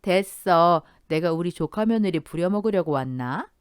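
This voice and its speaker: A woman voicing a gentle, soft-hearted older mother-in-law in an audio drama, speaking kindly. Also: on the low side, lively intonation, normal speed, clear, resonant tone, no room sound, studio quality, natural voice. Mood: cheerful.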